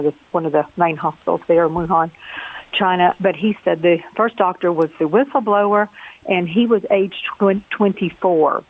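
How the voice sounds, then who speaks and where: Brief: female radio caller, gravelly, rambling, tinny phone audio. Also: fairly low pitch. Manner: rambling, self-correcting.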